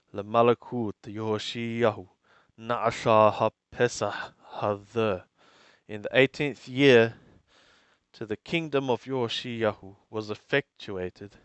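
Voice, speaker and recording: A man reading scripture aloud and translating it phrase by phrase in a deliberate, halting manner. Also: medium pitch, smooth, no echo. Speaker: Bible reader and translator.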